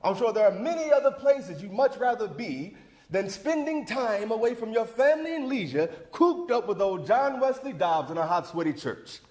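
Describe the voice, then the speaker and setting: A man's voice: sits high, big pitch swings, normal speed, darkish tone. A man acting the part of a fervent older community leader, giving a folksy, rousing, preacherly speech in a theatrical monologue. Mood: folksy, welcoming. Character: John Wesley Dobbs, fervent older civic leader rallying a church crowd. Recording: echoey hall with long reverb.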